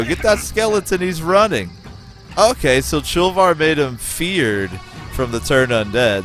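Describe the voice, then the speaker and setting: An American man streaming a game, giving excitable, profane play-by-play and chatting with viewers. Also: mid-range voice. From United States. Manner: excitable, profane, talks to chat. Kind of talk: casual gameplay commentary.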